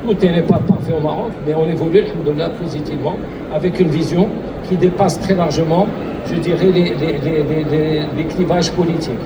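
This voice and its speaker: High-voiced man speaking on a panel. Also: fairly even intonation, fairly quick. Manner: earnest, repeats and restarts often. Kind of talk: public panel talk. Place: event hall. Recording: distant mic with loud background noise.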